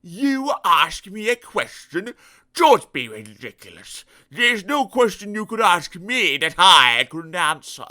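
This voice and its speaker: A smug know-it-all pig drawls every word slowly and loudly, sneering through a voice that swings widely up and down and rings very bright, as a male storyteller puts him on. He sounds pleased with himself and rude, a storybook bully of a pig. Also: a little high, big pitch swings, exaggerated voice. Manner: slow, drawn-out, loud, taunting.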